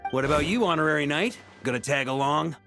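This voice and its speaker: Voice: mid-range voice, fast talker, sharp tone, faint music behind. A performer voicing a carefree, playful young male bard in a video game, with a breezy, teasing way of talking.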